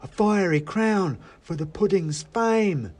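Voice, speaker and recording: A little mouse elf from a storybook, acted out by a man. He is an eager mischief-maker with a raised, lively voice. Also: high-pitched, slow, pitched above the performer's own voice, heavily acted. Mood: solemn glee. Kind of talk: storybook character voice.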